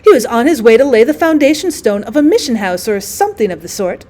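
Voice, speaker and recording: A woman's voice: a little high, big pitch swings, quick pace, dark tone. A woman voicing a genteel, indignant, drily witty lady who tells her mishaps in a wordy, emphatic way for a story reading. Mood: composed.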